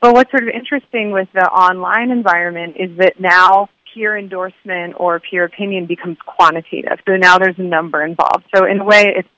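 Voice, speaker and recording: A woman speaks as a researcher in an interview, explaining findings with careful, measured wording. Her voice is bright and forward, and the recording is dry with no room sound. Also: on the low side, steady tone, no room sound, poor audio.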